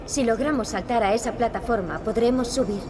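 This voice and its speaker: Woman voicing an earnest young princess, fairly high voice. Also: a little fast, over music, natural voice. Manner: earnest, resolute. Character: serious, determined young princess. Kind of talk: video game dubbing in Spanish.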